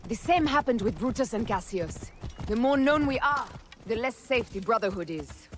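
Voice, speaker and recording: A woman's voice: on the high side, expressive, moderate pace, noisy background, close to an everyday voice. An actress playing a wary, commanding woman fighter, terse and serious, in a video game drama. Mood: suspicious.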